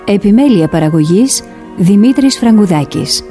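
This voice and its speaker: A woman's voice: medium pitch, expressive, warm tone. A woman radio announcer reading scripted credits in a formal announcement. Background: music.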